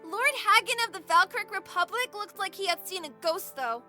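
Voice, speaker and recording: A woman's voice: extremely high pitch, animated, moderate pace, sharp tone, soft background music, full character voice. A woman playing a kind, earnest kid, wise beyond their years, in an audio drama. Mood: chatty. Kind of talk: scripted audio drama acting.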